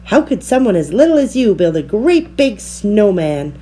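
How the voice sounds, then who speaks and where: A woman's voice: mid-range voice, sing-song, moderate pace, dark tone, lightly acted. A woman voicing big, blustery, bossy grown women in a storybook, emphatic and dismissive. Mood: demanding.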